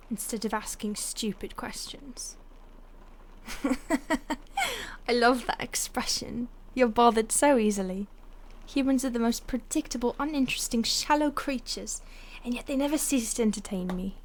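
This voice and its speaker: A woman playing a playful, condescending vampire stalker in an intimate audio roleplay, teasing and haughty in a breathy voice that often drops to a whisper. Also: fairly high pitch, moderate pace, slight background noise, natural voice. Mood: haughty amusement.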